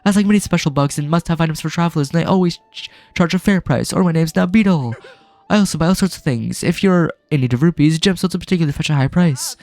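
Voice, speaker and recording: A man reading out the lines of a friendly male traveling bug merchant in a chipper sales-pitch voice. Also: fast talker, darkish tone, natural voice. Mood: cheerful.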